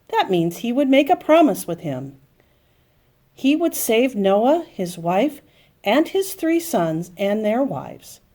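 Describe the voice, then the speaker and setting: A woman telling a Bible story to young children, explaining in simple phrases with dramatic pauses and emphasis. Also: medium pitch, mellow.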